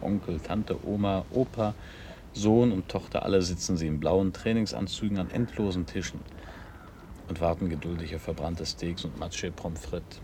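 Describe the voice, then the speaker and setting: A man's voice: low-pitched, dark tone, slight background noise. An actor in a German radio drama playing a nostalgic, ironic man who needles his old friend with musing questions.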